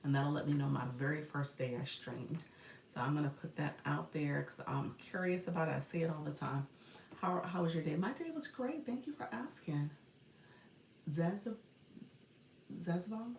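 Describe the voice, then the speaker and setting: A woman's voice: sits low, animated, moderate pace, creaky, darkish tone, roomy sound, a little hiss or hum. A woman from Florida hosting a casual live stream, chatting with viewers, greeting them and laughing often. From Florida, USA. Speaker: live stream host and coach.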